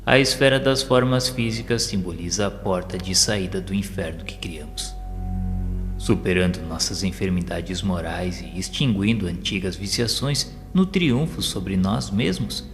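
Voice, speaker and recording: A man narrating an audio novel in a solemn, formal, measured, scripted dramatic style. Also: sits low. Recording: long reverb with faint music behind. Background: music.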